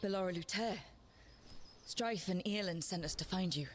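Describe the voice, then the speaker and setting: Woman as low-voiced, steady adventurer leader. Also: moderate pace, slight background noise, lightly acted. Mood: composed, purposeful. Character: steady, purposeful female fantasy adventurer leading a party. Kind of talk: video game character acting. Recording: light music behind the voice.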